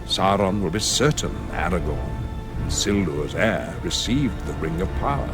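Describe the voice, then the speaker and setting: Deep, solemn old wizard. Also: male voice, sing-song, a little slow. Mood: grave, foreboding. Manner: solemn, portentous. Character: grave, wise old male wizard narrating war plans. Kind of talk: dramatic scripted narration. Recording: orchestral music behind the voice.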